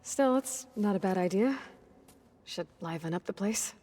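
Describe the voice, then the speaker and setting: An actress playing a determined, weary young warrior heroine in a video game, speaking in a low-key, matter-of-fact way. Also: female voice, lively intonation, measured pace, mellow, a little hiss or hum, natural voice. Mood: weary, friendly. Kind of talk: video game cutscene acting.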